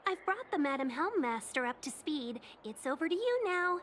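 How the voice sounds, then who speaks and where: A polite young woman guide in a video game. Her voice is high and very lively in pitch, over faint ambient noise. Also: moderate pace. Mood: polite, bright. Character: courteous young female guide.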